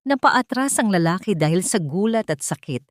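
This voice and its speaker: A woman narrating a scripted dramatic story in a measured, theatrical storytelling manner. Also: mid-range voice, lively intonation, no echo, studio quality.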